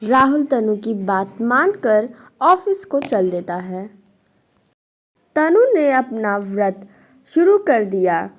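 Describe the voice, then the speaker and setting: A woman's voice: a little high, very animated, takes it slowly. A woman narrating a scripted moral story for children.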